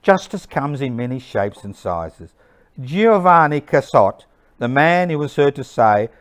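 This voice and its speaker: Man acting a clear, contemptuous voice of authority. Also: medium pitch, lightly acted. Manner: cold, contemptuous. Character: callous, sneering official voice of wartime authority.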